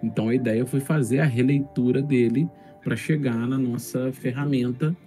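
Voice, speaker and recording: A man's voice: medium pitch, fairly even intonation, moderate pace, mellow. A middle-aged man with a Brazilian accent explaining things in casual, articulate podcast talk, often checking for agreement. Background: faint music.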